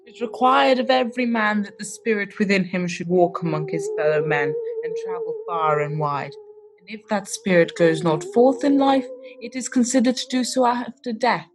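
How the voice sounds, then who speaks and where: A woman's voice: mid-range voice, big pitch swings, normal speed, a crowd in the background, low-quality recording. A woman playing the solemn, tormented ghost of a dead man in a radio play, declaiming portentously. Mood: anguished, solemn.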